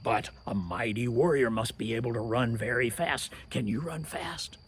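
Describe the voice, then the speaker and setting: A storyteller voicing a clever, boastful male turtle on the warpath, calling out in a high, shouting voice. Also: normal speed, slight background noise, natural voice. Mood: sly, taunting. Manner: high shouted taunts, sly whispered thoughts.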